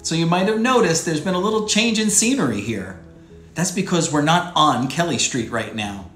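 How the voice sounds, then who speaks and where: An American man in his thirties with a slightly high voice presents a read-aloud show for children. He speaks in clear, simple phrases, as if to young listeners, with some music behind him. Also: lively intonation, normal speed, a bit bright, echoey room. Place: small furnished room indoors.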